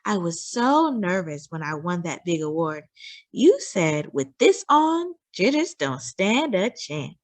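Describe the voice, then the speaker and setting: A woman's voice: lowish voice, sing-song, takes it slowly, no echo. A sassy, proud grown lady from a storybook, put on by a woman reader, showing off in style. She is emphatic and boastful, with widely swinging intonation. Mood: fond, proud.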